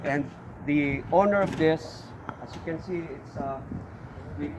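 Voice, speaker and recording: A middle-aged man with a Filipino accent, owner of an auto shop, talking casually and haltingly with many fillers and restarts as he tours his shop. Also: on the high side. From Philippines. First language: a Philippine language. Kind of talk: casual shop tour vlog. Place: outdoor auto shop lot. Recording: outdoor street and traffic noise.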